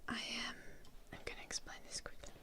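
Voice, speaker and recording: A woman from Finland hosting a live ASMR stream, whispering softly to her chat in English with a light Finnish tinge, close to the microphone. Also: quick pace. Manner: soft whisper, reading chat names. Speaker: ASMR livestream host.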